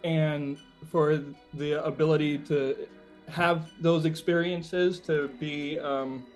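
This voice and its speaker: A man's voice: a little high, fairly even intonation, slow pace, soft background music. A man answering interview questions as a musician, talking informally in hesitant, thoughtful phrases. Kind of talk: casual conversational interview answers.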